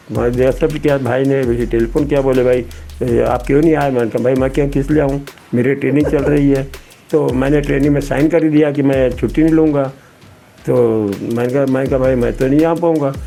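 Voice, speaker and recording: A man's voice: medium pitch, steady tone, a little fast, gravelly, warm tone. An elderly man in his eighties, a retired army veteran, telling his memories conversationally in a rambling way, repeating words and acting out remembered dialogue. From Betul, central India. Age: 80s. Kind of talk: conversational personal reminiscence.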